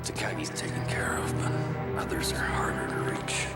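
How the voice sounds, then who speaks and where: A man playing a grim, menacing vigilante in a video game, terse and deadpan, with a gravelly half-whispered rasp. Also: normal speed, over loud music, natural voice. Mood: grim, cold. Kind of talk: video game cutscene acting.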